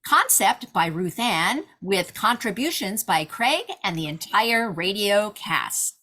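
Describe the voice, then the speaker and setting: Bright, slow woman radio announcer. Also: mid-range voice, animated.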